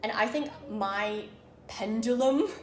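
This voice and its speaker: A woman's voice: on the high side, takes it slowly, roomy sound, a little hiss or hum. A woman chatting casually and thoughtfully as a co-host, with many fillers. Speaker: co-host of a beauty channel talk. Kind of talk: casual chat.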